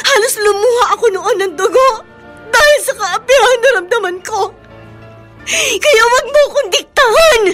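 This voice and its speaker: A woman's voice: very high voice, a bit bright. A woman with a Filipino accent playing an abused young stepdaughter, plaintive and hurt, in a radio drama. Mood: bitter, tearful. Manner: plaintive, polite, submissive.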